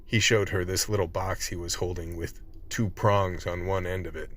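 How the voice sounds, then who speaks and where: An actor playing a wry vice team member, narrating a radio play colloquially. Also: male voice, deep voice, fairly even intonation, normal speed, fairly bright tone. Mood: grim storytelling. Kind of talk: scripted in-character narration.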